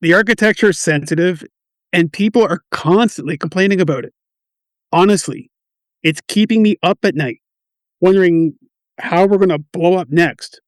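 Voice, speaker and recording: A man's voice: high-pitched, moderate pace, no echo, studio quality, close to an everyday voice. A man playing a stressed, defensive quality assurance manager in a radio play, touchy and wry. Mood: touchy, worn down. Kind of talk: amateur radio play dialogue.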